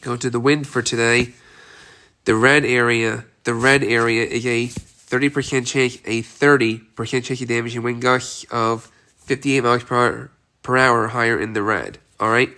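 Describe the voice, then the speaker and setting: A congested man with a cold, his stuffy voice still bright and sharp, reads a severe weather outlook. He talks in a plain, repetitive way, checking in with "all right" after each list of cities. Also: mid-range voice, fairly even intonation, moderate pace. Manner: repetitive, reads city lists with frequent "all right" and "okay". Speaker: weather forecaster. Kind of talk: amateur weather outlook video.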